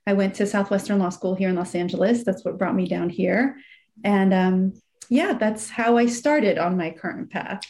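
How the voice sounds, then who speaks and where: A woman's voice: medium pitch, normal speed. A woman born in Iran, a nonprofit executive director, chatting as an interview guest and telling her story with small laughs and fillers. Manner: warm, reflective storytelling with soft laughs and fillers. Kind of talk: casual interview chat.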